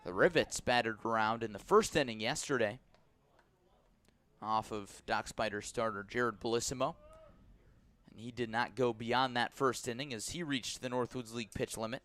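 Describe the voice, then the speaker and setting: A man calling baseball live on radio from a press box as play-by-play announcer, with a measured delivery that lifts on each pitch. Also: fairly bright tone. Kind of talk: live radio sports commentary.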